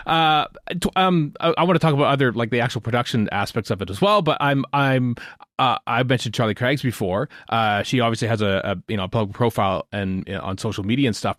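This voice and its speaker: A man's voice: mid-range voice, fairly bright tone, no echo, clean studio sound. A man hosting a podcast interview casually, asking rambling questions with repeated false starts.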